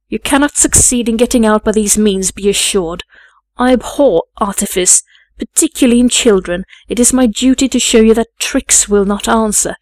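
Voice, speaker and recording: A woman's voice: on the high side, a little mellow, dry recording, close to an everyday voice. A woman voicing a cold, imperious aunt who scolds in a formal, peremptory way in an audiobook story. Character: stern, cold, imperious aunt and lady of the house.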